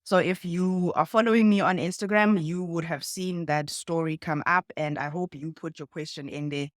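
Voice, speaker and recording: A woman's voice: lowish voice, fairly even intonation, moderate pace, dry recording, clean studio sound. A woman with a South African accent, from South Africa, hosting a conversational interview for her video channel. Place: indoors in a home.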